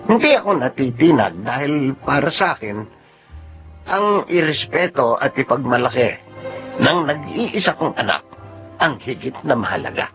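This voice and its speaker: An actor with a Filipino accent playing a gentle, proud middle-aged father in a radio drama, tender and earnest. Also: mid-range voice, sing-song, moderate pace. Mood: proud, reflective. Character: gentle, proud little father with dwarfism, long used to mockery. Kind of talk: Filipino radio drama acting and first-person narration. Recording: dull audio with music underneath.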